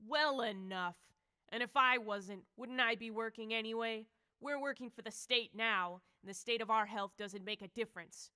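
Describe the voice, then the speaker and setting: A woman's voice: a little high, normal speed, sharp tone, smooth. A woman playing a bitter, grumbling, folksy old peasant woman in old-time radio horror style. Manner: querulous, scolding, folksy.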